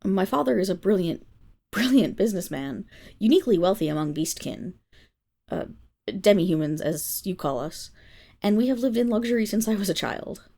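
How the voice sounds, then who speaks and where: A woman acts out a proud wolf-girl servant with a husky, slightly gravelly voice. Her pitch leaps and dips expressively as she speaks in haughty, formal phrases. It is intimate ASMR roleplay, indignant and easily flustered. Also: lively intonation, dark tone. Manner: haughty formal diction, halting when flustered. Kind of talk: ASMR roleplay, dramatic voice acting.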